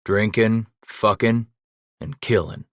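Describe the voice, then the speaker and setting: A man's voice: lowish voice, fairly even intonation, slow pace, no echo, studio quality. A man voicing a crude, coarse frontiersman who talks in swaggering, drawling slang. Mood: menacing.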